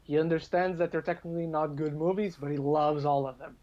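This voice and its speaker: A man with a fairly high voice chats casually as a podcast guest, telling stories with animated emphasis. The sound is a little dull and lo-fi, like a voice call. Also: fairly quick. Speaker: podcast guest and film reviewer. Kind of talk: casual film chat.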